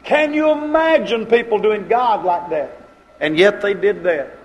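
A male preacher delivers a sermon in a notably high voice. His manner is dramatic and colloquial, mixing plain folksy talk with old-fashioned scriptural wording. He voices short bits of quoted dialogue as he goes. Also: animated, unhurried, muffled recording, slight background noise.

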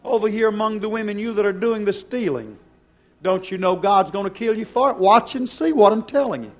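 A man preaching a fiery, stern sermon, accusing his congregation with emphatic repeated questions and swinging between hushed and loud delivery. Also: high voice, brisk. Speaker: preacher, church pastor. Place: church service before a congregation.

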